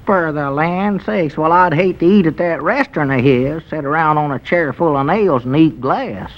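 An actor playing a naive, literal-minded and skeptical country sidekick in an old radio comedy, speaking hesitantly in rustic dialect with a rural Southern American accent. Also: male voice, fairly high pitch, moderate pace, lightly acted. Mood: naive musing. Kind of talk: 1940s radio comedy acting. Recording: dull, lo-fi old radio broadcast.

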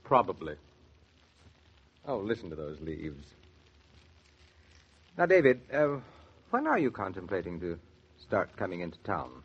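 A muffled old radio play features a persuasive, kindly male family friend. His voice is lowish and rough-edged, with melodic, animated phrasing in the 1940s acting style. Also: a little slow, long pauses, heavily acted. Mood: fond, amused.

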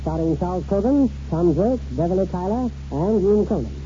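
A man's voice: high voice, animated, fairly quick, mellow, slight background noise. A man narrating and announcing a radio play in scripted 1940s style. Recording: muffled 1940s radio recording, music underneath.

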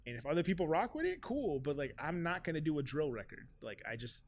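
A man in his thirties from South Florida with a high-pitched voice, chatting loosely, with lots of "like" and "right?". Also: big pitch swings, quick pace. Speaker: guest musician. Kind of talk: casual podcast conversation.

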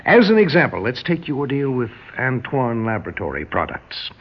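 A man's voice: medium pitch, sing-song. An actor playing a slick, ingratiating businessman of dubious schemes in 1960s radio drama style. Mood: sly, smooth. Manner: chuckling, ingratiating, hesitant pauses. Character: slick, smooth-talking male tycoon of dubious schemes. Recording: dull lo-fi old radio recording.

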